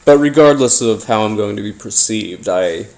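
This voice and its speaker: A man hosting a paranormal podcast, telling his story informally in a halting, rambling, conspiratorial way. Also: on the low side, animated, creaky, darkish tone. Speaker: podcast host. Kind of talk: informal first-person storytelling monologue, paranormal audio drama.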